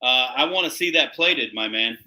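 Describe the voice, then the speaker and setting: A man's voice: mid-range voice, expressive, moderate pace, sharp tone, low-quality recording. A man hosting a live cooking show, chatting casually in slang and talking up the food as he cooks.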